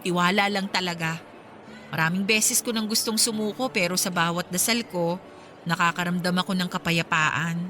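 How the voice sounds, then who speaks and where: A woman acting in a Filipino radio drama as a devout, hopeful friend, earnest and expressive. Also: lowish voice, normal speed, slight background noise. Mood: tearful faith, earnest.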